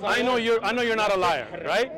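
A man's voice: sits high, animated, brisk. A man in a heated outdoor street debate, repeating his points insistently and talking over the other side. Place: outdoor street event with a crowd. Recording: crowd noise behind.

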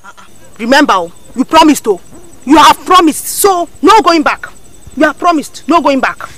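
A young woman playing a sassy young village girl in a Nigerian drama. Her voice sits high and swoops freely as she teases and coaxes. Her Nigerian accent is clear. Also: moderate pace. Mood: insistent. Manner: animated, sing-song, coaxing. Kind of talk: Nollywood-style drama acting.

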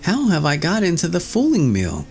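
A man voicing a thumb-sized, brave and mischievous boy in a storybook reading, high and loud. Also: huge pitch swings, unhurried, vocal fry, slight background noise, heavily acted. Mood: dismayed.

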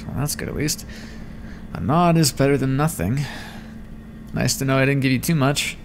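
A man's voice: medium pitch, moderate pace, creaky, background noise, lightly acted. A man playing a clinical, smug vampire scientist in an ASMR roleplay, teasing and condescending as he muses aloud to a captive. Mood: clinical, musing. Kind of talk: ASMR roleplay, intimate scripted monologue.

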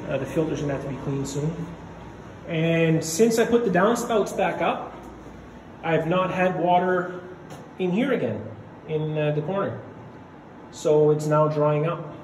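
A man casually narrating his own basement repairs while filming on his phone, rambling and matter-of-fact with many fillers. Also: a little high. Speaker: DIY home renovator. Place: concrete basement. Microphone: phone camera held while walking. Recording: echoey basement with background noise.

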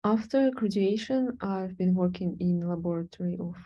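A young woman whose first language is Russian, presenting her research background in an online talk, pausing often with fillers and restarts. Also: on the low side, highs cut off. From Latvia. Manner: hesitant, many fillers and restarts. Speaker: PhD candidate presenter.

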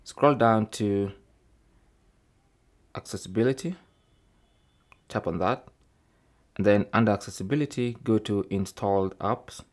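Man, low pitch, tech tutorial presenter, step-by-step narration, methodical, informal. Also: a little slow, long pauses. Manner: methodical, informal, often tags phrases with "okay".

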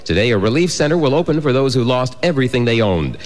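A man's voice: mid-range voice, big pitch swings, quick pace. An American man reading a scripted radio bulletin of news, sports and weather. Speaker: radio newsreader. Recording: long reverb, 1990s FM radio broadcast.

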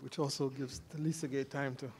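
A man moderating a panel, introducing it informally in halting phrases. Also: medium pitch, flat delivery, a little mellow, husky. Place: theater stage, indoors.

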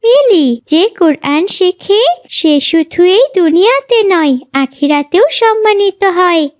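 A woman's voice: very high-pitched, lively intonation, slow, heavily acted. A woman voicing a small, well-behaved pupil in a cartoon, polite and sincere. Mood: earnest. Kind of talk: animated children's cartoon acting.

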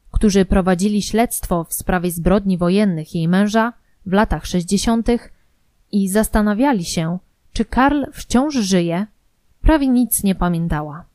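A woman reading scripted documentary narration in a formal, measured manner. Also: medium pitch, mellow, dry recording.

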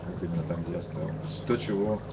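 A tired young man with a somewhat low voice talks haltingly, often breaking off and restarting. He is recorded outdoors on a dull, lo-fi stream with loud street ambience all around him. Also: heavy background noise. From Kyiv, Ukraine. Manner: hesitant, halting, many restarts. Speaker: interviewee, musician. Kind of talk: casual live-stream interview. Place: outdoors at a street protest camp.

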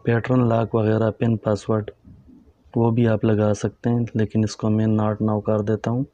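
A man with a low, level voice narrates a gadget tutorial in a calm, instructive way. His tone is mellow and unhurried. The recording sounds dull and lo-fi, with soft music in the background. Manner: calm, instructive, addresses viewers as friends. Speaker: tech tutorial host. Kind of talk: step-by-step phone tutorial narration.

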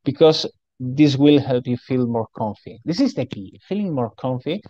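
A man's voice: mid-range voice, animated, moderate pace, mellow, dry recording, dull audio. Man with a strong Spanish accent. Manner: chatty, repeats himself, asks "okay?". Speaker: pitch trainer. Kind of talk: voice-over for a slide tutorial.

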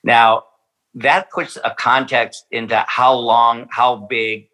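Bright-voiced man, halting speech. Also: mid-range voice, flat delivery, poor audio. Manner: hesitant, many fillers and restarts. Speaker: winery representative. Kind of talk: podcast interview.

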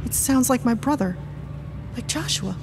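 An actress playing an anguished, guilt-ridden woman in an audio drama, a woman speaking in a haunted, halting way. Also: a little high, expressive, music in the background.

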